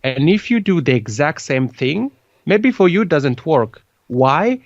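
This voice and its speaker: A man's voice: fairly high pitch, measured pace, no room sound. Swiss italian guy talking on a podcast, keeps restarting his sentences, dull muffled sound, dry. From Italian-speaking Switzerland. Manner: lively, repeats words, restarts phrases often. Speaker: podcasting consultant guest. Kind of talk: conversational interview.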